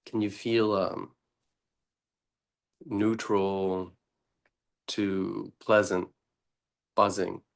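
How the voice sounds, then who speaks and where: A man teaching meditation in an unscripted, deliberate way, pausing often between short phrases. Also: low voice, little pitch movement, creaky.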